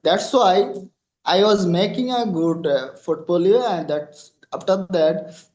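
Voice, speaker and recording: A man with a Bangladeshi accent, Bengali his first language, answering interview questions in halting English full of fillers and restarts. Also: high voice, darkish tone, echoey room, lo-fi sound. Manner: halting non-native English with many fillers. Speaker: freelancer guest.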